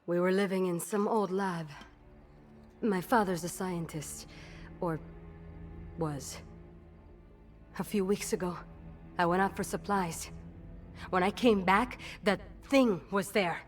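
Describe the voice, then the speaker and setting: A woman's voice: lowish voice, slow pace, long pauses, faint music behind. An actress playing a guarded, defiant young woman survivor in a video game cutscene, curt and hard-edged in her delivery. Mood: bitter, grieving.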